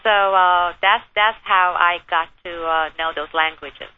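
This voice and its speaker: A woman from Turkey, a guest on a radio show, speaking in a measured way with frequent pauses. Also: lowish voice, fairly even intonation. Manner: measured, pausing often. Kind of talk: phone interview. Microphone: telephone. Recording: tinny phone line with faint hiss.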